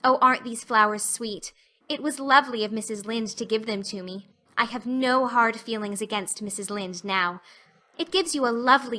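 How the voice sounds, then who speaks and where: A woman's voice: fairly high pitch, sharp tone. A woman voicing an earnest, dreamy, talkative orphan girl with effusive, flowery speech in an audiobook. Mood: dreamy, chattering. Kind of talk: audiobook acting.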